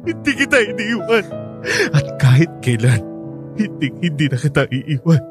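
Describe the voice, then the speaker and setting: A man's voice: on the high side, sing-song, normal speed, over music. An actor playing a hardworking father back from abroad, tender with his child and bitter at his cheating wife. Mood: pleading. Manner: pleading then bitterly mocking. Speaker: Karding, the father. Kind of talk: radio drama acting.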